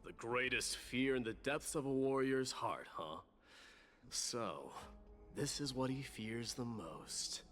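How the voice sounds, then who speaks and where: An actor playing a grave, watchful warrior in a video game, speaking in a measured, understated and grim way. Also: male voice, mid-range voice, expressive, a bit bright, soft background music. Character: grave, stoic male warrior companion, watchful and terse. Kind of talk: dramatic video game voice acting.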